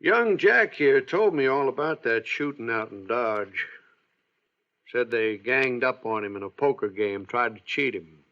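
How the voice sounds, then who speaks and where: An actor playing a smug, hostile Old West town constable in a radio drama, with a folksy, menacing way of talking. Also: male voice, mid-range voice, animated. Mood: challenging. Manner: folksy, drawn-out, veiled menace. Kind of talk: 1940s-50s western radio drama acting. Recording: muffled old-time radio recording.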